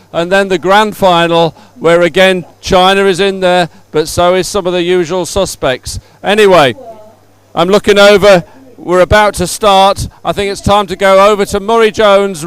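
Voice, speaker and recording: A man's voice: sits high, clean tone. A man hosting a live sports stream in an informal, somewhat hesitant way. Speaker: livestream host.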